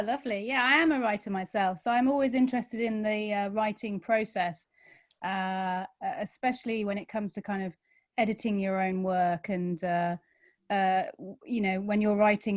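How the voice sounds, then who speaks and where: Female writer, medium pitch, halting casual talk, audience question, dry sound. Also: no echo. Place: online video call.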